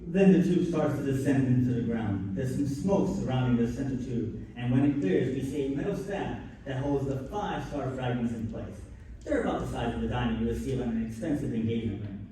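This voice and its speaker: A man narrates a live radio play from a script at a middle pitch. His voice comes from some distance in a very echoey space, with clear background noise. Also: brisk, warm tone. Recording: echoey noisy convention hall, distant mic.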